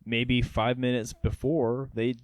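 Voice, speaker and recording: Young guy rambling on a podcast, lots of restarts, smooth voice, dry room. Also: medium pitch, little pitch movement, slow, dry recording. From North Georgia. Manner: rambling, many restarts and fillers. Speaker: podcast co-host. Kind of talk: casual chat.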